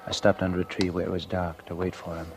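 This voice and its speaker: Scheming killer confessing in an old 1940s radio thriller, lowish voice, music underneath. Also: male voice, completely flat delivery. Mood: tense, calculating. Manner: confessional, deliberate narration. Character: scheming, nervous male murderer narrating his crime, outwardly genial. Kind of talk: 1940s radio suspense acting.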